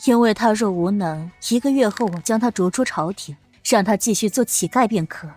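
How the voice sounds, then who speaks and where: A woman voicing a shrewd middle-aged empress and protective mother in a Chinese period drama, speaking in a measured, imperious way. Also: medium pitch, darkish tone, soft background music, close to an everyday voice. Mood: stern, authoritative.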